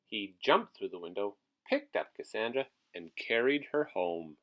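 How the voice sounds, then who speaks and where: A man's voice: fairly low pitch, lively intonation, measured pace, highs cut off. A man who works as a teacher reads a picture book aloud to young children, with asides to his listeners.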